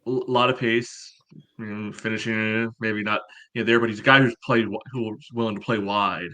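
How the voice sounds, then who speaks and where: Bright-voiced, even-toned man talking soccer. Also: fairly low pitch. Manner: halting, peppers talk with "you know". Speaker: soccer podcast panelist. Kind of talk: casual sports analysis chat.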